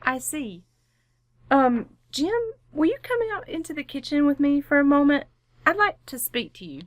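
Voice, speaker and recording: Suburban wife for radio comedy, played by a woman: higher-pitched, firm and polite, with cool sarcasm, close dry sound. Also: sing-song, normal speed, dry recording. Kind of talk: old-time radio sitcom acting.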